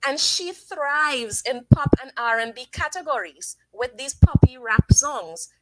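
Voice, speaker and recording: A woman hosting a casual live stream, giving her opinions and reading viewers' comments aloud in a chatty, thinking-out-loud way. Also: high-pitched, expressive, unhurried, a little hiss or hum, poor audio. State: sniffly from sinus trouble. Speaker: livestream host.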